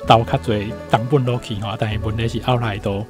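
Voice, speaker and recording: A man's voice: lowish voice, fairly even intonation, brisk, over music. A man with a Taiwanese accent gives informal commentary, retelling and explaining a story with frequent tag particles at the ends of phrases. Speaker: guest commentator, university professor.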